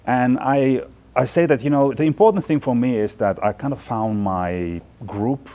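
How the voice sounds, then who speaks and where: A man's voice: medium pitch, moderate pace, mellow. A man in his forties from Finland, talking through thoughtful, chatty interview answers with plenty of fillers and restarts. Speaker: guest, fashion department chair. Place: large bright studio loft indoors.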